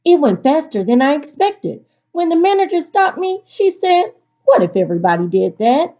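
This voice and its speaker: A woman teacher reading aloud to students in an expressive, instructive storytelling delivery. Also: high voice, moderate pace. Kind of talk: picture-book read-aloud for a virtual class. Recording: dull, lo-fi recording.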